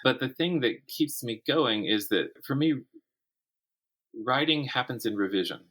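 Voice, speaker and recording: A man chatting casually as an interview guest, telling stories in animated, stop-start phrases. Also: medium pitch, a little slow. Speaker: podcast guest, writer and writing teacher.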